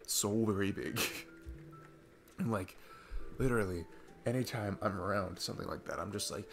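A man's voice: lowish voice, moderate pace, gravelly, over music. A man playing a sweet, earnest, geeky single dad on a date, rambling and hesitant in an intimate ASMR roleplay. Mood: excited, earnest.

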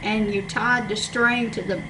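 A woman's voice: mid-range voice, steady tone, a little slow. A woman in her seventies with an East Tennessee accent, chatting and agreeing as she recalls old times in a small library room. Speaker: storyteller. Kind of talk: casual group reminiscing. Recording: noisy room recording.

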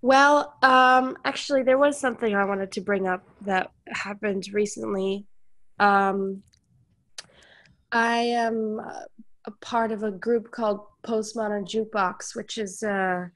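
A woman's voice: medium pitch, steady tone, a little slow, a bit bright. A woman musician with a cold, sounding congested, chatting casually on an online call with many fillers. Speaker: musician guest. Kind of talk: casual discussion.

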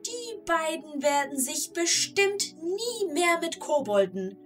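A woman playing an excitable storyteller in a children's audio play, involved in the tale and speaking straight to listeners. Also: very high-pitched, takes it slowly, faint music behind, heavily acted. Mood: relieved, confiding. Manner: addresses the listeners, gets caught up in events. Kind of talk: dramatic scripted children's storytelling.

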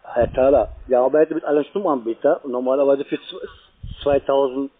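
A man with grammar makes an insistent cold-call pitch. He talks quickly at a medium pitch, and the line is tinny and narrow like a telephone. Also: steady tone. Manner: pushy, insistent, halting grammar. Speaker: call center electricity salesman. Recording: tinny, band-limited phone line.

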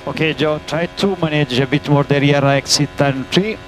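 A man working as a race engineer, giving terse, halting instructions over team radio and repeating key commands. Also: on the high side. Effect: team radio transmission. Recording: noisy motor-racing team radio.

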